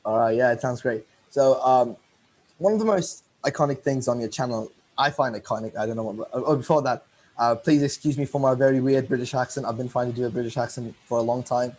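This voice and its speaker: A man's voice: mid-range voice, a little fast, a little mellow, poor audio. Rambling teenage boy interviewer. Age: teens. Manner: rambling, repeats and corrects himself. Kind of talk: casual online interview.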